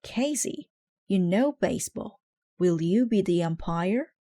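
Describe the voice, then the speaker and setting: A woman's voice: mid-range voice, lively intonation, slow, dark tone. A woman voicing a bossy, eager young male mouse who captains a baseball team in a storybook, exclaiming his orders. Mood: coaxing. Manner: commanding, exclaiming.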